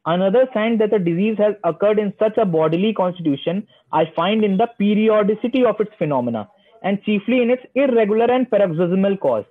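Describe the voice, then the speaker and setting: A young man teaching homeopathy, reading English passages slowly and then explaining them, often answering his own questions. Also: high-pitched, lively intonation. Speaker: homeopathy lecturer. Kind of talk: online lecture mixing Hindi and English. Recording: tinny phone-line sound.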